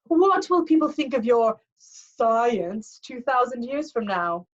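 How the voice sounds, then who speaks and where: A woman voicing a pudgy, impatient male camp director with a scornful, slow drawl. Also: a little high, animated, dull audio, pitched above the performer's own voice. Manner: drawling, scoffing, slow and emphatic.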